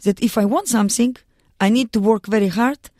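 A woman's voice: fairly high pitch, darkish tone, no room sound. Earnest Russian-accented woman, dry studio. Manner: earnest, halting non-native English with restarts. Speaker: guest, mountaineer and lawyer. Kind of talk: radio studio interview. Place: radio studio.

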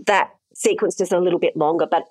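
Measured, explanatory woman. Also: fairly low pitch, fairly even intonation, dry recording. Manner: measured, explanatory, asks herself rhetorical questions. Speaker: intimacy coordinator guest. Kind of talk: conversational interview answers.

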